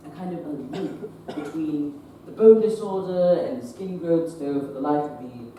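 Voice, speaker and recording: A man's voice: fairly high pitch, normal speed, warm tone, a little hiss or hum, natural voice. A teen boy playing a flustered Victorian doctor. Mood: embarrassed. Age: teens. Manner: stammering, fussy, awkward. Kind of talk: teen stage play performance. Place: theatre stage. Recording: echoey stage from far off.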